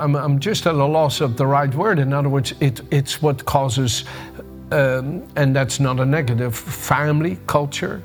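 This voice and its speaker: An older man with a Dutch accent tinged with American, giving a conversational devotional sermon, often restarting and searching for words. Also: a little high. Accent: Dutch with a bit of American. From Netherlands. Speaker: preacher.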